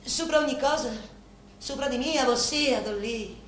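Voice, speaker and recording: An actress playing a deferential, devoted Sicilian woman who serves a local boss, speaking a reverent, deliberate stage monologue with a Sicilian accent. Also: high voice. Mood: solemn, devoted. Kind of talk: theatrical dramatic monologue in dialect. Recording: very echoey room, faint background noise.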